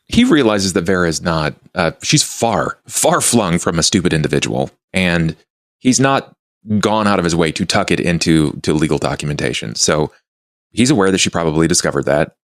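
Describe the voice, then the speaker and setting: A man's voice: low-pitched, expressive, normal speed, dry recording, clean studio sound. A man improvising narration and game talk as a player in a tabletop role-playing game. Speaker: player in actual play podcast.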